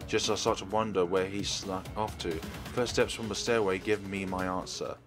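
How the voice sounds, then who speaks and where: Low-voiced man reading a game story. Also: normal speed. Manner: expressive reading, acts out dialogue. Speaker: let's player reading a visual novel aloud. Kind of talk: narration read from on-screen text. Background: game music.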